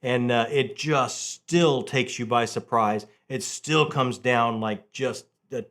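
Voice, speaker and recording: A man hosts a book review video in a loose, conversational way. His voice sits at a medium pitch. He tells things like an animated storyteller, often repeating a phrase to drive it home. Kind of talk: casual conversational book chat.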